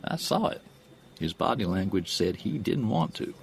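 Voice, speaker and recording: A shrewd politician in an audio drama. His voice sits very low and crackles with gravel. He speaks deliberately and folksily, probing with suspicion. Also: sing-song, full character voice. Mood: pressing, insistent. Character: shrewd, suspicious Texan politician, middle-aged man.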